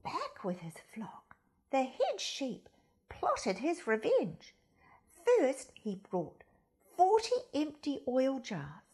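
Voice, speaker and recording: A woman reading a children's story aloud in a dramatic, deliberate way, with hushed emphasis. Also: high-pitched, huge pitch swings.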